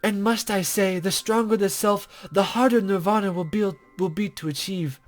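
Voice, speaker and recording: A man voicing a cryptic, serene female guide to the afterlife from a visual novel, measured, formal and gently condescending. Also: high voice, steady tone, faint music behind, natural voice. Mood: solemn, knowing. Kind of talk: character reading from a visual novel.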